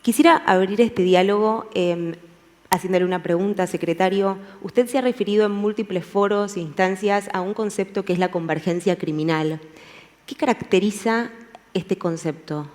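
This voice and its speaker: A woman's voice: mid-range voice, normal speed, a little mellow. A woman moderating a panel debate. She introduces the topics in an orderly way, with a few fillers. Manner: structured, listing topics, some fillers. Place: conference hall. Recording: long hall reverb.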